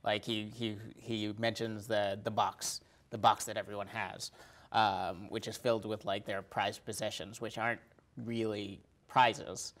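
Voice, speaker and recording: A man talking casually about a film as a podcast guest, haltingly and with many uses of "like". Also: fairly low pitch, little pitch movement, a bit bright. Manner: hesitant, halting, often repeats "like".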